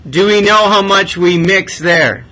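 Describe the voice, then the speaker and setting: Bright, high-voiced man tutoring math. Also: measured pace, rough recording. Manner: conversational, often tags questions with "right?". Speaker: math teacher. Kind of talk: step-by-step tutoring with checking questions.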